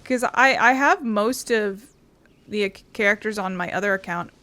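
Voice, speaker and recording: A woman's voice: mid-range voice, normal speed, bright, forceful tone. A woman giving casual, rambling game commentary, talking to herself and swearing a little. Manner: self-talking, mildly sweary. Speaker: gaming video host.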